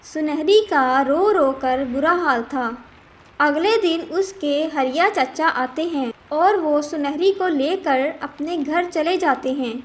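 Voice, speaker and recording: A woman's voice: high voice, slow. A woman narrating a scripted children's cartoon story.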